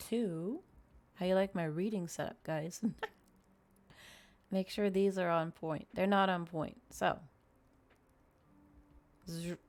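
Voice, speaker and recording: A woman hosting an ASMR livestream, chatting casually and reading aloud in a soothing way. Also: lowish voice. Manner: soft-spoken, soothing when reading. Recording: clear background noise, fireplace crackle ambience. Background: fireplace crackles and quiet ambient music.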